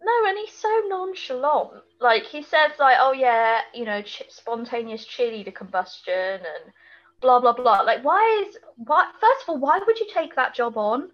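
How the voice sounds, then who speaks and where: British female podcast co-host, higher voice, colloquial and opinionated, casual recap style, slightly dull lo-fi sound. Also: expressive, moderate pace. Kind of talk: casual chat, TV episode recap.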